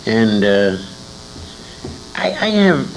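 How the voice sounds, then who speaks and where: Old guy war vet, low creaky voice, salty storyteller, halting but punchy, noisy interview tape. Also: big pitch swings. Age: older. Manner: emphatic, salty, halting delivery with acted-out dialogue. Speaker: war veteran being interviewed. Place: indoor interview at a convention. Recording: noisy amateur interview tape with room ambience.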